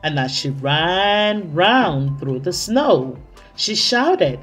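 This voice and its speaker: A woman reading a children's story aloud in an expressive, dramatic, deliberate way. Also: on the low side. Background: soft music.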